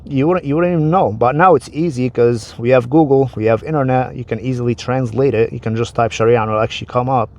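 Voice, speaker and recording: A man's voice: medium pitch, quick pace, few pauses, darkish tone. Casual man. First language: Arabic. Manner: conversational, repeats words, restarts phrases. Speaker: vlogger explaining religion. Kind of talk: casual explanatory talk to camera.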